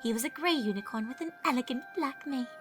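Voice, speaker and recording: A woman's voice: sits high, quick pace, fairly bright tone, faint music behind. A woman voicing a refined, dramatic female unicorn fashionista telling a spooky tale in a fan fiction reading. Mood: storytelling, dramatic. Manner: theatrical, genteel, calls others darling.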